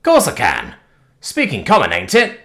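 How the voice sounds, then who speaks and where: A man's voice: mid-range voice, wildly swooping pitch, moderate pace, gravelly, a bit bright, heavily acted. A man voicing a friendly, easygoing rural man who talks in a folksy, hearty drawl. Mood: offended. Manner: drawling country talk, hearty.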